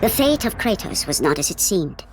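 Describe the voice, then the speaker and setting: An actress as a grave storyteller, narrating an ancient myth in a video game with measured, solemn drama. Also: female voice, lowish voice, big pitch swings, heavy background noise. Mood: ominous.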